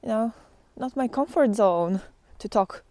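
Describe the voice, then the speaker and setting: A woman giving a casual, unscripted spiritual monologue in a reflective, halting way, often trailing into pauses. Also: on the high side, dark tone, no room sound. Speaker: spiritual teacher vlogger.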